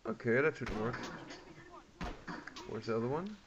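Male gamer, muffled noisy home recording, unhurried halting commentary, mid-range voice. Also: mid-range voice, expressive. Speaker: video game player. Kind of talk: casual gameplay commentary.

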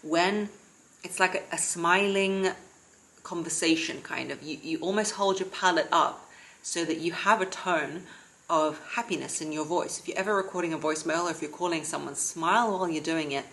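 A woman in her thirties giving casual advice to camera from her living room sofa, in a calm, steady, self-described monotone. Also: lowish voice, normal speed, rough recording. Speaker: vlogger and confidence coach.

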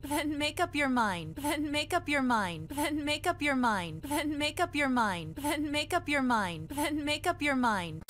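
A firm, caring cartoon mother, speaks in a high voice with a lively melody. She sounds patient and instructing, with some noise behind her. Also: a little slow, hardly any pauses, heavily acted. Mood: firm, reasoning. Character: firm but caring young mother in a space mission. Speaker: animated film character, a mother. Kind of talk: animated film dialogue.

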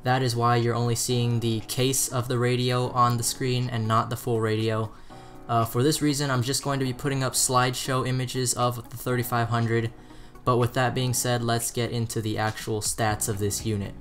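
A man's voice: medium pitch, flat delivery, normal speed, a bit bright. A man presenting a scripted product review as narration. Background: background music.

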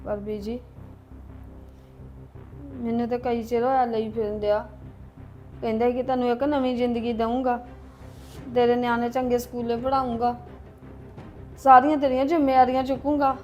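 A woman's voice: a little high, level tone, moderate pace, long pauses, a little mellow, soft background music, lightly acted. A woman playing a deceived, remorseful young mother in a family drama, earnest and apologetic. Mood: hurt, indignant.

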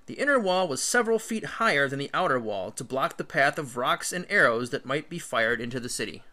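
Bright, animated man reading aloud. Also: mid-range voice. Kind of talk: scripted read-aloud of an illustrated history book.

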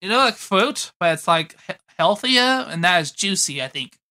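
A man's voice: high voice, lively intonation, normal speed, sharp tone, exaggerated voice. A man voicing a dim, easygoing hairy monster who rambles hesitantly in an improvised comedic fan dub. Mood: confused.

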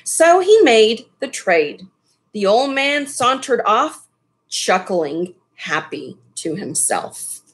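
A middle-aged woman reading a children's story aloud, pausing for asides to her listeners. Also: fairly high pitch, sing-song. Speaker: storybook reader. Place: small furnished room at home.

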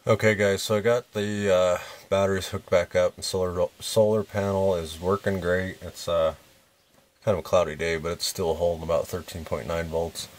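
A man's voice: low-pitched, flat delivery, moderate pace. A man giving a casual, rambling walkthrough of the solar setup inside his RV, filling gaps with ums and uhs. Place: inside an RV, small room.

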